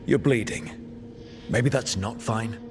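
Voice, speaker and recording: An earnest, level-headed adventurer leads his party in a fantasy game. His voice is fairly low, over faint music. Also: slow. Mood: concerned. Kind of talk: video game voice acting.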